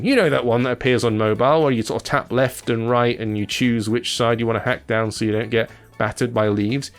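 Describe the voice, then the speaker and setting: A man presenting games with casual gameplay commentary in a chatty, informal, slangy manner. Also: medium pitch. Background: music.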